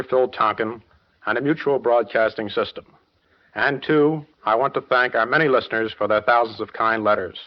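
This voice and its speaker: A dull old radio recording of a man speaking at medium pitch. He reads a formal, prepared speech of thanks as a producer would on a 1940s broadcast. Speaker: radio producer-director accepting an award.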